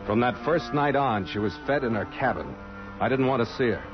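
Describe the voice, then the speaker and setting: An actor playing a terse, commanding, brooding ship's captain who also narrates in an old radio drama. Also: male voice, medium pitch, fairly quick, natural voice. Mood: brooding narration. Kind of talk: old-time radio drama acting and first-person narration. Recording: muffled old radio recording, loud music.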